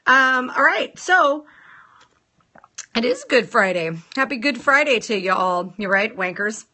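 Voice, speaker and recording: A woman hosting a casual live stream, chatting playfully with viewers and greeting them in a sing-song lilt, her voice a little rough from a sore throat. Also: fairly high pitch, sing-song, unhurried, pushed and bright. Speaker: nail salon owner hosting a live stream.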